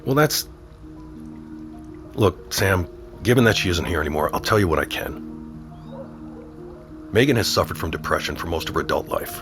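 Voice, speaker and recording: An actor playing a middle-aged small-town sheriff and father in an audio drama, wry, measured and protective in his talk. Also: low voice, close to an everyday voice. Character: small-town sheriff dad, teasing yet protective and steady. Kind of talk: naturalistic audio drama acting.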